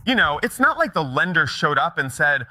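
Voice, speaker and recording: A man's voice: on the high side, sing-song, normal speed, bright, forceful tone, no room sound. A middle-aged man telling stories in a conversational conference talk, often checking in with the audience. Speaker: mortgage broker giving a conference talk.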